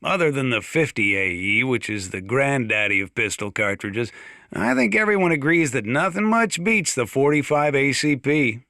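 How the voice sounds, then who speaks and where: An actor playing a gruff, plain-spoken old small-town mountain sheriff with an American accent, talking over the radio in a video game. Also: male voice, medium pitch, sing-song, bright, forceful tone, dry recording, studio quality. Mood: folksy, opinionated. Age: older. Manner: folksy, plain-spoken, drawn-out hesitations. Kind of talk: in-game radio broadcast monologue.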